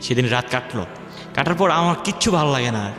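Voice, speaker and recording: A man's voice: mid-range voice, animated, fast, fairly bright tone. Echo-laden Bangladeshi man telling his story. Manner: colloquial, dialect-tinged speech. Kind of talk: informal personal storytelling. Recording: echoey long reverb, music bed behind.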